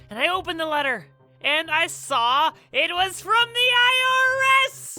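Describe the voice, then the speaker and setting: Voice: extremely high pitch, bright, forceful tone, full character voice. An actor playing a timid, bumbling male camp counselor in a light-hearted audio drama, stammering and hesitant. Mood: panicked.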